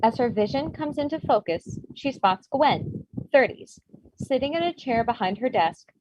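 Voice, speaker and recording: A woman's voice: fairly high pitch, animated, moderate pace. A woman reading stage directions as scripted narration at a comedy table read. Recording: dull, noisy home microphone with clicks.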